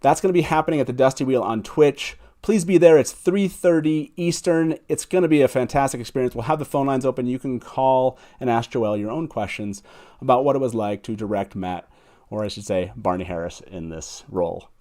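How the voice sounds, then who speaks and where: Man, mid-pitched voice, casual live show host, enthusiastic, emphatic delivery. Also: fairly quick, dark tone. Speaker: host of a live call-in fan talk show. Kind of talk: casual show hosting, introducing guests.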